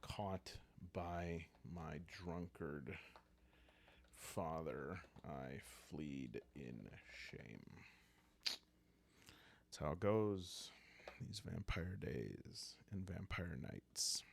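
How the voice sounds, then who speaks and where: Calm low-voiced man with gravelly creak. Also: very slow. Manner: calm, hushed, musing aloud. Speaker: solo role-playing game host. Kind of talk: narrating a solo journaling game for relaxation.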